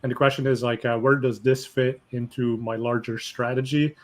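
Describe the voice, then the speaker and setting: Male host, mid-pitch, upbeat and chatty, fillers here and there. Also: moderate pace. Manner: energetic, conversational, with fillers. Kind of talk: webinar hosting.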